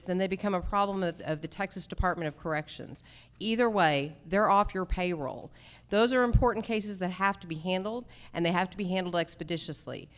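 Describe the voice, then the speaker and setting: Lower-pitched woman, emphatic candidate. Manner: emphatic, declarative, short punchy sentences. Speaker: judicial candidate at a public forum. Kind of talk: prepared campaign forum remarks.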